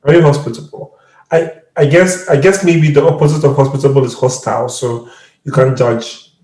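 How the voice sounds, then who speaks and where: A man's voice: mid-range voice, steady tone, normal speed, a little mellow. A man from Ghana hosting a casual podcast interview, chatting with his guests. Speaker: podcast host. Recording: roomy, echoing voice.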